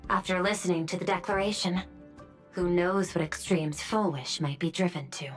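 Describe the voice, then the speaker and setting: An actress playing a formal, cautious government official in a video game, speaking with composed precision. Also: female voice, lowish voice, steady tone, moderate pace, soft background music, natural voice. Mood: composed, cautioning.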